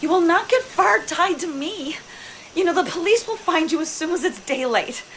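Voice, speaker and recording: Actress as a high-voiced, indignant prim lady. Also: expressive, moderate pace, a bit bright, full character voice. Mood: exasperated. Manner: haughty, clipped politeness. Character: indignant, prim, stubborn young lady motorist. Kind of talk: audio drama acting. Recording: noisy home-device recording.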